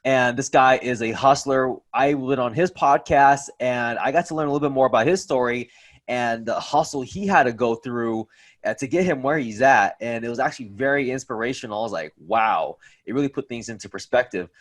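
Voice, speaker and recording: A man's voice: mid-range voice, brisk. Casual upbeat male podcast host. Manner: upbeat, casual, uses fillers like "uh" and "like". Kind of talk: casual interview hosting.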